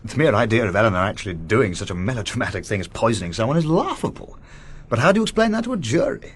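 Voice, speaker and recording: Breathy, hesitant English gentleman. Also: mid-range voice, very animated, fast talker. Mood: uneasy, defensive. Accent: upper-class English. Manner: hesitant, uneasy, reserved. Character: diffident, sensitive upper-class young English gentleman. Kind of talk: radio drama acting.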